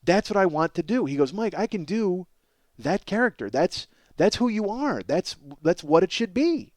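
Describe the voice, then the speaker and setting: A middle-aged man hosting a comedy podcast, telling stories in a casual, profane, self-deprecating ramble and exaggerating excitably for comic effect. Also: high-pitched, normal speed, no echo, clean studio sound. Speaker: podcast host, comedic storyteller.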